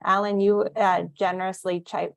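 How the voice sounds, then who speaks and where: A woman's voice: medium pitch, little pitch movement, moderate pace, vocal fry, dry recording, lo-fi sound. A woman in her thirties presenting on a video call, friendly and chatty, who laughs easily. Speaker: webinar presenter. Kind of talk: casual video-call introductions.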